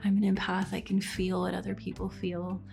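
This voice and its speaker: A woman in her thirties, speaking earnestly and in a measured way in an interview. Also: mid-range voice, completely flat delivery, creaky, a little mellow. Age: 30s. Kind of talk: reflective interview. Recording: faint music underneath.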